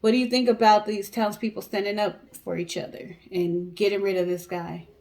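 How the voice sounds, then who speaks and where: A woman's voice: medium pitch, flat delivery, normal speed, a little mellow. An American woman co-hosting a storytelling show, talking casually and colloquially as she recounts true crime and speaks directly to viewers. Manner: conversational, colloquial, addresses audience directly.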